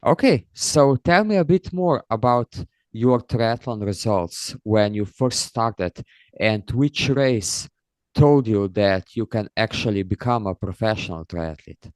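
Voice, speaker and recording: A man's voice: on the low side, measured pace, a little mellow, no echo, low-quality recording. A man hosting a podcast who opens with an intro and then asks interview questions in long, structured sentences. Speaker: podcast host.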